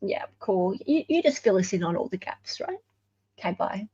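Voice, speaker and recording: A woman's voice: medium pitch, sing-song, normal speed, lo-fi sound, a loud crowd. A woman hosting true-crime commentary in a casual, chatty and wry way. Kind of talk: casual chatty commentary on court evidence videos.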